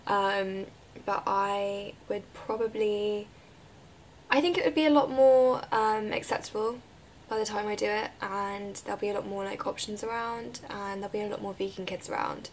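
A woman in her twenties with a croaky voice from a recent illness, chatting casually as she answers viewer questions to camera in her bedroom. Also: medium pitch, moderate pace, slight background noise. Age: 20s. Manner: chatty, relaxed, drifts into breathy whispers. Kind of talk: casual Q&A vlog. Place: bedroom, indoors. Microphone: camera mic at close range.

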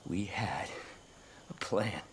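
An actor playing a sadistic, theatrical cult preacher in a video game, an American man with zealous, drawn-out phrasing. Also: deep voice, noisy background. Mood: weary, bitter conviction. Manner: preacherly, drawn-out phrasing with sudden whispers and shouts. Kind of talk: video game cutscene acting.

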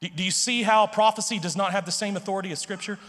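A man's voice: high-pitched, fast, a bit bright. A man in his thirties preaching a sermon on a church stage into a handheld mic, emphatic and conversational, often checking in with "okay?" and "right?". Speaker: pastor. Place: large church stage with purple lights. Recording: long hall reverb.

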